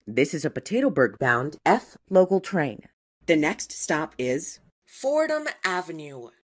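A woman reading scripted train announcements in a measured, formal, segmented way. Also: deep voice, very animated. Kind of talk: scripted transit announcement, spliced clips.

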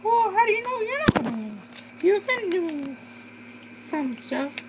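A person putting on a squeaky, whiny contestant voice, slow and drawn out. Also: sing-song, heavy background noise, heavily acted. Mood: puzzled.

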